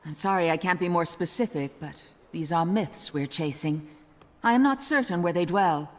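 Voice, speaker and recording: An actress playing a wary, earnest resistance agent in a fantasy video game, speaking with foreboding. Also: female voice, lowish voice, normal speed, natural voice. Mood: grave, businesslike. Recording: reverberant hall with music behind.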